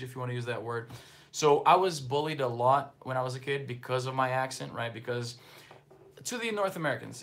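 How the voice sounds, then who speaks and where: A man's voice: mid-range voice, a bit bright. A Brazilian-born man with a slight Brazilian accent hosts a casual livestream, chatting loosely and reading viewer comments. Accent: slight Brazilian Portuguese. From northeastern Brazil. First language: Portuguese. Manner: rambling, filler-heavy, loose and conversational. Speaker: livestream host.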